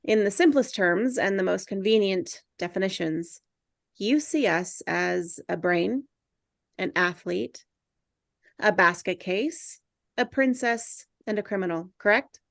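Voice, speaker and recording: A woman's voice: mid-range voice, animated, a little slow, gravelly, dry recording, clean studio sound. A woman reading the part of an earnest, mildly defiant teenage boy nerd in a film script table read.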